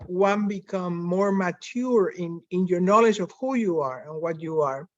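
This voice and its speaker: A woman's voice: lowish voice, a little slow, no room sound. An older woman with a Spanish accent, speaking reflectively on a panel over a video call, often restarting her sentences. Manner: reflective, with frequent restarts and fillers. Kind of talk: conversational panel talk. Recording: dry, dull lo-fi call audio.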